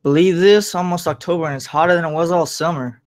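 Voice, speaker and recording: Man as confrontational sixteen-year-old street girl, fairly high voice, table-read drama. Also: fairly even intonation, quick pace, natural voice. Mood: brash, suspicious. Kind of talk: scripted table-read acting.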